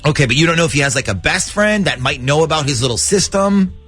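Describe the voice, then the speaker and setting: Morning-show host, male, jokey and talkative, animated delivery on the high side. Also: fast talker, slightly rough, soft background music. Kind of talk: radio talk banter and prank call.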